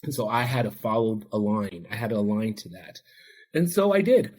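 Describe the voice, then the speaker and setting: A man's voice: fairly low pitch, big pitch swings, moderate pace, a little mellow, husky, low-quality recording. Earnest man, Spanish his first language. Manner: earnest, heartfelt, reflective. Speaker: addiction counselor, podcast guest. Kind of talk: podcast interview, personal storytelling.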